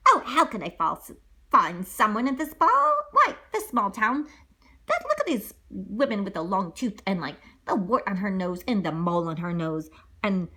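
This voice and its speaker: A woman's voice: medium pitch, huge pitch swings, normal speed, bright, forceful tone, slightly rough, full character voice. A woman voicing a fussy young prince complaining in a read-aloud storybook. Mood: grumbling.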